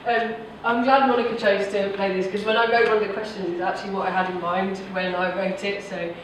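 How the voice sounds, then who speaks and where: A woman's voice: medium pitch, level tone, brisk. A woman informally hosting a panel event as its moderator. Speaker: panel host. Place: indoor event room at a film festival panel. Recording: echoey room from far off, noisy.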